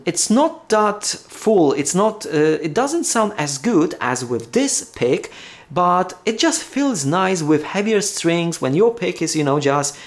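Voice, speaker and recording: Halting Polish-accented man, casual vlog. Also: on the high side. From Poland. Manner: halting, many fillers and restarts. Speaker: vlogger. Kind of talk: casual unboxing talk.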